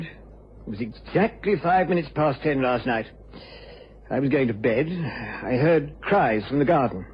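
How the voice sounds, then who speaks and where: An actor playing a grieving country gentleman, father of a grown son, in a radio crime drama, earnest and emphatic. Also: mid-range voice, close to an everyday voice. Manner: earnest, emphatic, recounting witness. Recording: dull, hissy old radio recording.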